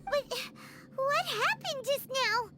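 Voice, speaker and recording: A woman voicing a tiny, excitable, earnest fairy companion in a video game, with emphatic, expressive delivery. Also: very high-pitched, slow, over loud music, exaggerated voice. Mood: relieved, worried. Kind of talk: English video game voice acting.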